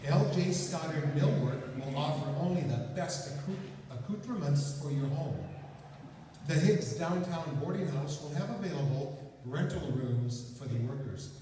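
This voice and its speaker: A man's voice: a little high, mellow. A man reading scripted old-time sponsor announcements as the announcer of a radio play. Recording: echoey hall from far off, faint noise.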